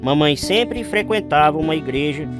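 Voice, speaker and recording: A man's voice: a little high, lively intonation, normal speed, music in the background, lightly acted. An actor playing an earnest man recalling his youth in scripted radio drama narration. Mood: reflective.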